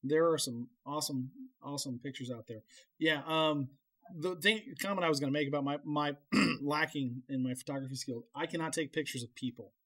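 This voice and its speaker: A man hosting a casual, chatty interview in a halting, rambling, self-deprecating way. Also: fairly high pitch, fairly bright tone. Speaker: podcast interview host.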